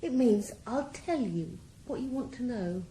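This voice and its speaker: A cold, composed crime boss. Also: female voice, a little high, lively intonation, unhurried, dark tone. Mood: cool. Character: cool ruthless female drug boss. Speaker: criminal mastermind. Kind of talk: radio drama acting. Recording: roomy echo, faint background hiss.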